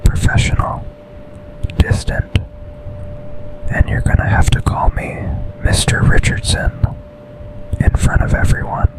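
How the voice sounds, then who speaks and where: A man playing an intense, possessive yet tender office boss in a whispered, intimate ASMR boyfriend roleplay, speaking breathily in short, deliberate phrases. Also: close to an everyday voice, low-quality recording. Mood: tender, confessional.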